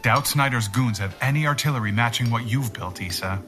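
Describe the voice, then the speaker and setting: An actor playing a level-headed, dryly witty sidekick in a radio drama, with soft music behind him. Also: male voice, mid-range voice, brisk, lightly acted. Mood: dry, reasoning.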